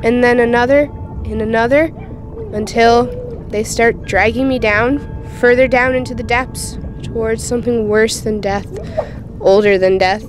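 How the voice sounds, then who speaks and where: A woman's voice: a little high, steady tone, measured pace, over music, lightly acted. A woman playing a teenage girl patient in a radio drama, confiding her eerie nightmares in a colloquial, youthful way. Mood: frightened, tense. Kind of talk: first-person radio drama narration and dialogue.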